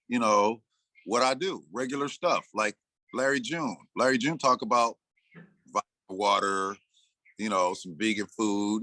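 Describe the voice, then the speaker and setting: Guest: sixty-something man, bright forceful voice, dry close sound, loose storyteller who acts out his own lines. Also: lowish voice, no room sound. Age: 60s. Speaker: interview guest, rapper and producer. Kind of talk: casual interview talk.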